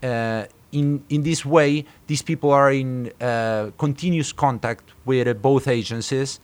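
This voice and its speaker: Halting man from Argentina in an interview. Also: medium pitch, clear, resonant tone. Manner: halting, hesitant phrasing with repeated words. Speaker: startup co-founder being interviewed. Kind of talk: interview answers.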